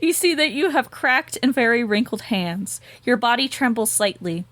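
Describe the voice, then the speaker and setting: A woman's voice: a little high, animated, moderate pace, fairly bright tone, no echo. A woman co-hosting a podcast who reads a gamebook aloud and chats casually, laughing often and swearing freely. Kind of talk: casual chat and reading aloud.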